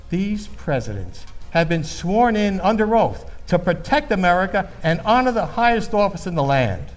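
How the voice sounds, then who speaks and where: An actor playing an earnest, patriotic baby-boomer storyteller in an emphatic, solemn stage monologue. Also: male voice.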